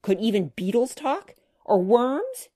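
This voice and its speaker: A woman's voice: on the high side, big pitch swings, slow pace, pitched above the performer's own voice, exaggerated voice. A woman voicing an eager, chatty little girl full of questions in a children's storybook. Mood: excited. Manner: bright-eyed, exclamatory, inquisitive.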